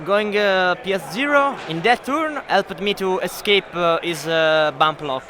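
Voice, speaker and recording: A man's voice: sits high, unhurried. A man from Italy, speaking English with an Italian accent, explaining his match hesitantly with many fillers. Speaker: tournament player. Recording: crowd noise outdoors.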